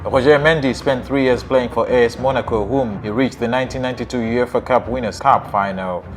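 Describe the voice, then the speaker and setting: A man's voice: mid-range voice, brisk, faint music behind. Man reading a scripted football countdown. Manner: even, list-like reading of facts. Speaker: narrator. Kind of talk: scripted documentary narration.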